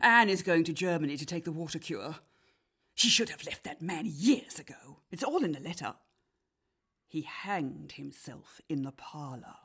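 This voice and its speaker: A woman's voice: low voice, animated, normal speed, fairly bright tone, breathy edge, full character voice. A woman playing a domineering, haughty Victorian piano teacher in a radio play, imperious and clipped. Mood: exasperated. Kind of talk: period radio play acting.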